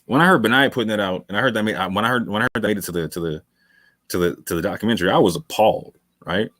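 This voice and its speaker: A man's voice: fairly low pitch, very fast, fairly bright tone, no echo, studio quality. A male talk show host giving long, emphatic commentary late in a livestream. He repeats phrases for stress, calls listeners fam, and sounds worn from hours on air.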